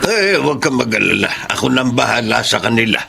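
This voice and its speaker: An actor playing a kind, patient old Filipino father and grandfather in a radio drama, speaking in a gentle, halting, gravelly voice. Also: mid-range voice, big pitch swings, a little hiss or hum, exaggerated voice. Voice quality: gravelly, husky.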